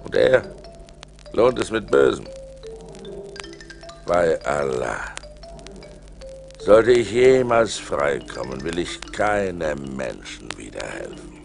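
Gravelly low seafarer telling his adventures, old vinyl radio play, dramatic, music underneath. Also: very animated, takes it slowly, long pauses, exaggerated voice. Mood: weary, bitter. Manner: theatrical, swinging from hushed to urgent. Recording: vinyl record transfer with music behind.

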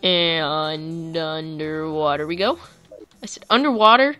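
A woman casually commentating her own gameplay for viewers, chatty and quick to get excited. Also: deep voice, smooth, faint music behind. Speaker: gaming YouTuber. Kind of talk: let's play commentary.

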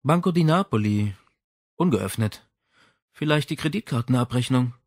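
A man reads the part of a persistent, businesslike policewoman in a crime audiobook. The questions come briskly, in a dry, close recording. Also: mid-range voice, expressive, darkish tone, no room sound. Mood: matter-of-fact.

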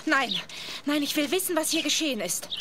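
Courageous biblical woman for radio play, high-pitched, resolute, slight background noise. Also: animated, fairly quick. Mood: awed, resolute. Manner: earnest, resolute. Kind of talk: German religious audio drama. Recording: faint ambient noise behind.

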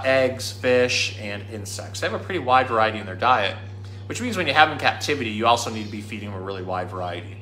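A man's voice: medium pitch, pushed and bright. A man in his thirties presenting as a zookeeper in a casual, informal and explanatory way, recorded in a small room. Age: 30s. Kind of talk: casual educational talk and storybook reading. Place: small indoor room at a pet store. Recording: echoey room.

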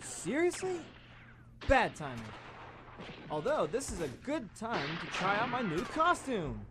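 A man's voice: high voice, wildly swooping pitch, measured pace, heavily acted. A young man acting in a student radio play as a cocky, rebellious schoolboy turned magical vigilante, theatrical and slangy. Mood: sulky, sardonic. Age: young adult. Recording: noisy live radio play with gunfire effects.

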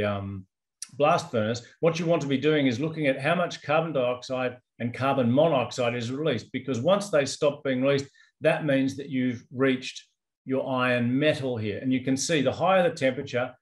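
A man in his fifties with an Australian accent, presenting research in a webinar over a video call, in a loose conversational way with many ums and restarts. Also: mid-range voice. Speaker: company executive presenting research. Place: indoors, office with branded backdrop.

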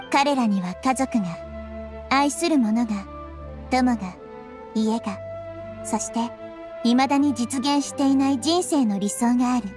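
A woman voicing a small, wise young girl deity in a game, speaking in a measured, gently reproving way. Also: fairly high pitch, lightly acted. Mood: earnest. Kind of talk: scripted Japanese game voice acting.

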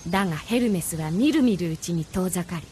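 A woman narrating a radio drama as a measured storyteller. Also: lowish voice, animated, a little mellow, slight background noise.

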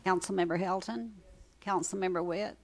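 Creaky-voiced woman calling roll. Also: on the low side, fairly even intonation, normal speed, a little hiss or hum. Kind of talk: formal roll call. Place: council chamber.

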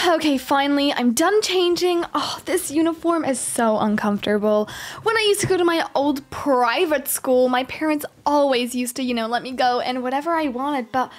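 A woman's voice: sits high, moderate pace, close to an everyday voice. A woman playing a spoiled rich schoolgirl and young mother in a game roleplay, sighing and complaining to herself. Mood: grumbling.

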